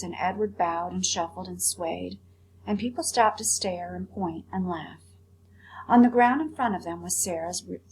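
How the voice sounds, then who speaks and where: A woman reads a children's story aloud in the manner of a teacher, adding commentary for her pupils. Her voice sits at a middle pitch. A faint hum runs behind the recording. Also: a little mellow, poor audio. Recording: clear background hum and noise.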